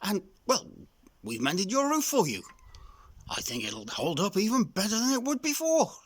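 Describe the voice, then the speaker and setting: A man's voice: high-pitched, wildly swooping pitch, normal speed, no echo, voice pushed up, exaggerated voice. A man voicing a kindly, chatty old crow in a children's story, with a folksy, rambling manner that repeats itself. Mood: chatty, reassuring.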